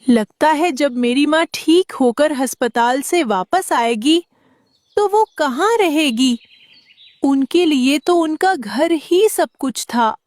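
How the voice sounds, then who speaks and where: A woman's voice: high-pitched, expressive, darkish tone, dry recording. A woman voicing a young boy bird, a dutiful and worried son, earnest and childlike in a children's cartoon. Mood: sad. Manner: earnest, childlike, deliberate delivery.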